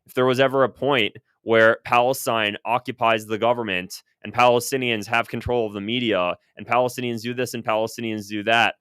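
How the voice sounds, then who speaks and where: A man's voice: medium pitch, fairly even intonation, a little fast, hardly any pauses, a bit bright, clear, resonant tone, dry recording. An American man giving unscripted, opinionated political commentary in an emphatic, insistent way, repeating phrases for stress. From United States.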